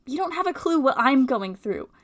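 A woman's voice: high voice, very animated, normal speed. A young woman playing a blunt, anxious but kindhearted college student in a dramatic play reading. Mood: angry, hurt. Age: young adult.

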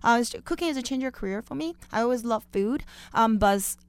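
A woman from China with a Chinese accent, telling personal stories as a chatty, animated interview guest. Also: on the high side, fast talker, smooth, no room sound. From Beijing, China. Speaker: guest, chef.

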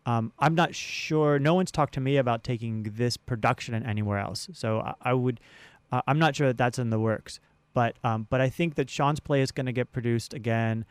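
Smooth, clear-voiced American man being interviewed. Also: medium pitch, normal speed, no echo. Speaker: theater director and producer, radio guest. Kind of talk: conversational interview answers. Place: radio station studio. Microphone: close studio radio microphone.

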